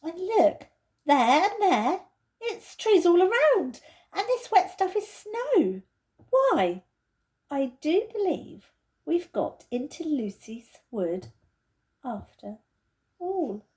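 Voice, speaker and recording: A woman's voice: very high-pitched, sing-song, takes it slowly, long pauses, darkish tone, pitched above the performer's own voice, exaggerated voice. A woman voicing a sensible schoolgirl, an older sister, with emphatic delivery in a storybook reading. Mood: surprised, excited.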